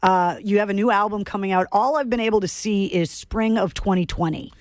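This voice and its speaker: A woman's voice: medium pitch, fairly quick, no room sound, clean studio sound. Bubbly teasing woman radio host. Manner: bubbly, teasing, laughs often. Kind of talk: casual radio interview chat. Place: radio studio.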